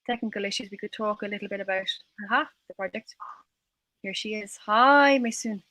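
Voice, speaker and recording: A woman's voice: medium pitch, fairly quick, sharp tone, no room sound, rough recording. An Irish woman hosting a livestream event and introducing guests. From Ireland. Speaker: event host.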